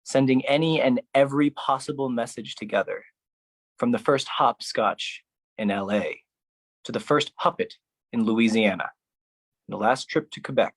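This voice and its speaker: A performer delivering a theatrical spoken-word poetry monologue in a dramatic, deliberate, heavily emphatic manner. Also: mid-range voice, breathy edge.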